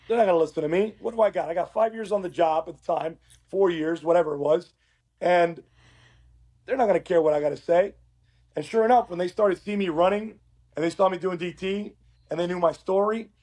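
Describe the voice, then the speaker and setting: Raspy, higher-pitched man telling stories casually. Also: darkish tone. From Uruguay. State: hoarse from work. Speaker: podcast guest, police academy instructor. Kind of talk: casual conversational storytelling.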